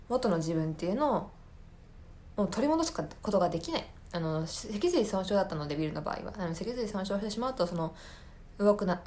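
A woman's voice: fairly low pitch, darkish tone, slight background noise. A woman podcast host talking casually on her own, explaining things thoughtfully and searching for her words as she goes. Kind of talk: casual solo recommendation talk.

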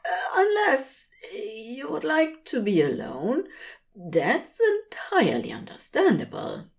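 A fussy, tender gentleman , acted out by a woman, speaks in a raised, lilting pitch. He is polite and formal, a little anxious and hesitant, and takes his words slowly, as in a storybook. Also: huge pitch swings, gravelly, full character voice. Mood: nervous, faltering.

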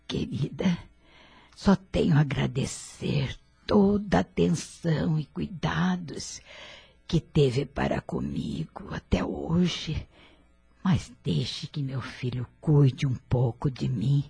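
An actress playing a frail, gentle elderly mother in a radio drama, speaking slowly and tenderly. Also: sits low, very animated, gravelly, breathy edge, no room sound, heavily acted. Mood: affectionate. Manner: slow, tender, tearful speech.

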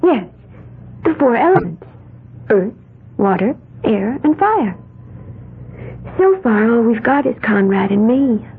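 A woman's voice: on the high side, lively intonation, slow, pauses often, husky, phone-quality sound, exaggerated voice. An actress playing a dreamy young woman with odd beliefs who babbles in a wide-eyed, sing-song way, in a 1970s radio drama. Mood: earnest, dreamy. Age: young adult. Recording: band-limited old radio broadcast.

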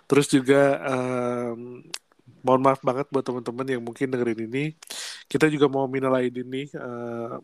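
A young man with a Jakarta accent chats casually as a podcast co-host, slangy and relaxed with plenty of fillers. His voice is a little nasal from a cold. The recording is dry and close, with no room sound. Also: mid-range voice, measured pace, long pauses, no room sound. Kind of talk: casual chat.

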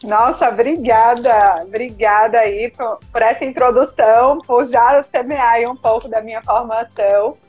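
A woman's voice: fairly high pitch, unhurried, darkish tone, breathy edge, muffled recording, soft background music. A woman from Recife with a mixed northeastern Brazilian accent, speaking as a podcast guest in an earnest, motivational way over a video call. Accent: mixed northeastern Brazilian Portuguese. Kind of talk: casual interview talk.

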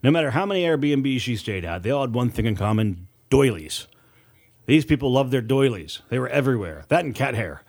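Guy reading a horror audiobook, medium pitch, dramatic and deliberate with pauses for effect. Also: very animated, gravelly, a little mellow. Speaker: audiobook narrator and author. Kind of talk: scripted horror audiobook narration.

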